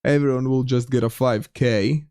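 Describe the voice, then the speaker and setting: A young man with a light Latvian accent talks casually into a close mic, reading viewers' messages aloud and often restarting his sentences. His pitch sits in an ordinary middle range. The sound is dry, with no room echo. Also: fairly even intonation, moderate pace, dark tone, clear, resonant tone. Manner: chatty, reads chat aloud, frequent restarts. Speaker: streamer. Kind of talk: casual live-stream chat with viewers.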